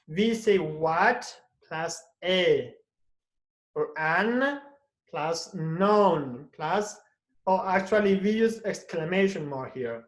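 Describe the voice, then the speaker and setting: Man, English teacher, slow and deliberate, says "okay?" after every phrase, echoey room. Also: high voice, lively intonation. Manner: tags "okay?" after most phrases and acts out each interjection. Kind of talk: live online lesson. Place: home at night.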